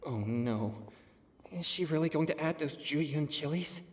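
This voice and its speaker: A woman playing an earnest, polite and excitable teenage boy exorcist in a video game, with an expressive, sometimes hesitant delivery. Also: low voice, a little slow, slight background noise. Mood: wary.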